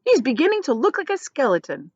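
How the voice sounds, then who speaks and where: A woman's voice: high-pitched, wildly swooping pitch, fast, a little mellow, no room sound, muffled recording, pitched above the performer's own voice, heavily acted. A woman voicing a worried, pleading old grandfather in a storybook reading. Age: older.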